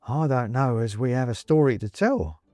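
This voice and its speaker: A man voicing a wary, soothing gentleman who speaks slowly and conspiratorially. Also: medium pitch, dry recording, soft background music, voice pushed up, close to an everyday voice. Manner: slow, calming, conspiratorial. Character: soothing, wary middle-aged gentleman householder. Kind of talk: audiobook character reading.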